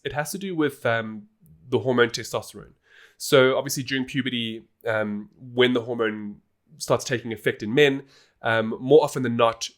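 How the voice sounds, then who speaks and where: A man hosting a podcast, explaining things in a casual, informal and emphatic way. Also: medium pitch. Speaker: podcast host.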